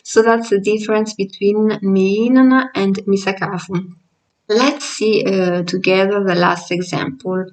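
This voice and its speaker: A woman with an Italian accent, Italian being her first language, explains a language lesson at an unhurried, patient pace, pausing often with fillers. Her voice sits at a middle pitch and is picked up from a little way off the mic. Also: steady tone, distant mic, low-quality recording. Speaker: language teacher.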